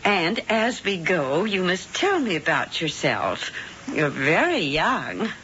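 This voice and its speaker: A woman's voice: fairly low pitch, very animated, slow pace, bright, forceful tone, exaggerated voice. Sugary scheming witch. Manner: sugary and falsely welcoming. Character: scheming middle-aged witch posing as landlady. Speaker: Missus Warlock. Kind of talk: 1970s radio drama acting. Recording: dull old radio broadcast with faint hiss.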